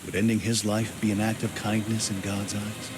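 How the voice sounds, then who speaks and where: A man's voice: on the low side, completely flat delivery, moderate pace, close to an everyday voice. Solemn, troubled man an actor over rain. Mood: brooding. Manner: solemn, brooding. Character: devout, troubled male hero, prayerful and searching. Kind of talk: video game character monologue. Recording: clear rain and ambient noise behind.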